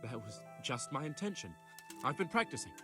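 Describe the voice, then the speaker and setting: A man's voice: medium pitch, big pitch swings, brisk, music in the background, lightly acted. A man playing an eager, hapless would-be duelist in a medieval video game, earnest and hesitant. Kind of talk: video game dialogue acting.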